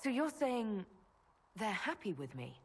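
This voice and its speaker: A woman's voice: mid-range voice, big pitch swings, measured pace, husky, in a large hall, heavily acted. A woman playing a wary young elf heroine in a fantasy video game, guarded and questioning. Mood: wary, confused. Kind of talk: dramatic video game acting.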